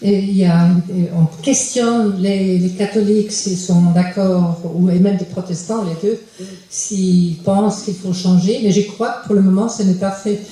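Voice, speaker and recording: A woman's voice: medium pitch, fairly even intonation, normal speed, mellow. German-speaking woman, hesitant, in an echoey hall. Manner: hesitant, searching for words. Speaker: audience member. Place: attic lecture hall in a school.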